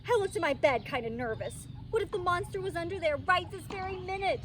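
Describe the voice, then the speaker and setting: A woman reading a children's story as a teacher would, in animated narration, recorded outdoors in a park. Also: very high-pitched, brisk, slight background noise.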